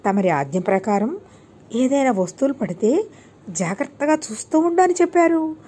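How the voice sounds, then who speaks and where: A woman's voice: high voice, big pitch swings, normal speed, warm tone, husky, heavily acted. A woman voicing a dim-witted but obedient young male disciple, earnest and deferential, in a children's storybook tale.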